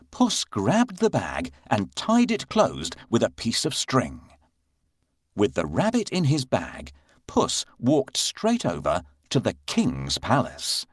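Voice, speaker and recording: A man narrating a scripted children's story in a measured, expressive storyteller's manner. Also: mid-range voice, no echo, clean studio sound.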